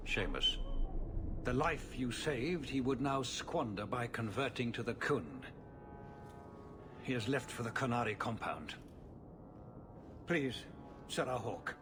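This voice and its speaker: A man's voice: medium pitch, close to an everyday voice. An actor playing a formal, anxious city ruler pleading for help with his son. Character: worried middle-aged male city ruler and father.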